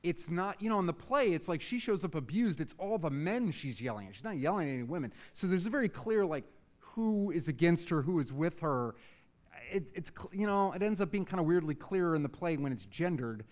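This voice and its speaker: Higher-pitched man giving informal critique. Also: expressive, a little fast. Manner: exploratory, rephrasing, checking listeners follow. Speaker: teacher, audience member giving feedback.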